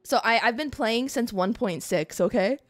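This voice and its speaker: A woman streamer chatting casually and playfully about a video game, expressive and prone to giggling. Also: fairly high pitch, moderate pace. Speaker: streamer, host. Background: music.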